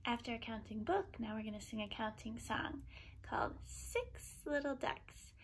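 A woman in her thirties reading aloud to young children as a preschool teacher, phrasing simply and clearly. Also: a little high, animated, normal speed, whispered. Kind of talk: children's storytime read-aloud.

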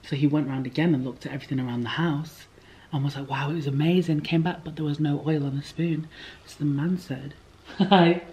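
A young woman chatting to a handheld camera in a room, rambling and lively as she talks. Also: low voice, brisk, rough recording. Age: young adult. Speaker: vlogger. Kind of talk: casual chat to camera. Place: indoor room with bookshelves at a retreat. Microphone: handheld camera at arm's length.

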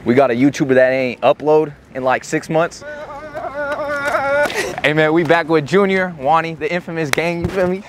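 A man's voice: on the high side, fairly even intonation, normal speed, heavy background noise. A young man hosting a casual prank vlog, slangy and playful, talking in a store and a parked car. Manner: slangy, playful, hushed whispers while pranking.

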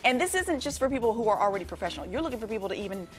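A woman's voice: fairly high pitch, big pitch swings, very fast, faint music behind. A woman hosting a morning TV show, chatty and enthusiastic, interviewing guests in a studio. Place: TV studio set.

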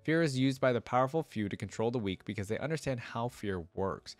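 A man voicing a calm, calculating male scientist who lectures persuasively in a storybook read-aloud. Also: medium pitch, brisk, no echo, faint music behind, lightly acted. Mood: measured, persuasive.

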